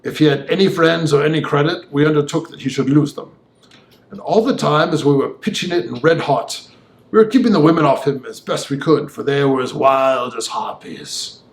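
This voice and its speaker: A man reading as a gentleman who recounts a disturbing tale in vivid, old-fashioned, emphatic speech. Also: a little high, normal speed, echoey room, lightly acted. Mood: indignant. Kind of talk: audiobook dialogue monologue.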